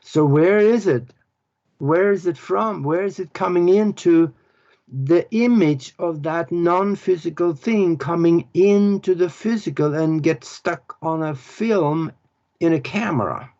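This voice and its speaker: A man speaks in a fairly high register at a very slow, unhurried pace. He explains spiritual ideas earnestly and often pauses to search for words. The recording sounds dull and lo-fi. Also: darkish tone. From Norway. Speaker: author and spiritual teacher.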